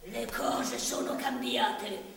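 A woman's voice: fairly high pitch, slow, very noisy. A woman playing a haughty, bullying landowner captain in a commedia dell'arte radio play, declaiming pompously. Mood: indignant, domineering. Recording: very echoey room, distant mic.

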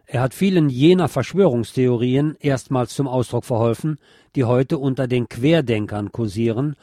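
A man's voice: mid-range voice, mellow, no echo, studio quality. A man reading a scripted essay as a radio narrator. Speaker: narrator reading a book chapter.

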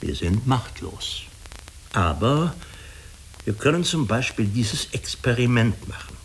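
An actor playing a diplomatic, persuasive official of an international agency in a German radio drama, speaking in a measured manner. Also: male voice, medium pitch, sing-song, slight background noise, poor audio. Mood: earnest, persuasive.

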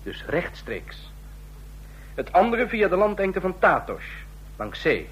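An actor in a radio play playing a stern, condescending ambassador of a fascist state, speaking in formal, measured officialese. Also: male voice, sits high, big pitch swings, fairly bright tone, exaggerated voice, poor audio. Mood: businesslike, commanding. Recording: clear hum and noise, old radio recording.

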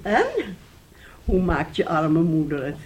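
A woman's voice: low-pitched, slow pace, a little mellow. An actress playing a fussy, scheming older mother in a radio play, theatrical and effusive, repeating herself. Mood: gushing, solicitous. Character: fussy, scheming older mother, gushing then bitterly nagging. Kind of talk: Dutch radio play acting.

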